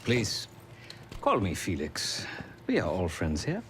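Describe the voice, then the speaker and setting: Game role: former Stasi tech genius, German accent, drily polite and deliberate, very animated intonation. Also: male voice, low-pitched, a little hiss or hum, exaggerated voice. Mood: dry, courteous. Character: East German male defector, ex-Stasi enforcer and tech genius. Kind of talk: video game cutscene acting.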